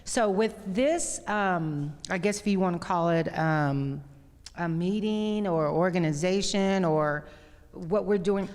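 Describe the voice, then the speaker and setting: A woman with a loud, carrying voice making a request at a community meeting. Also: lowish voice, takes it slowly. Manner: loud voice that carries. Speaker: community participant. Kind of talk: unscripted meeting remarks. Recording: reverberant hall.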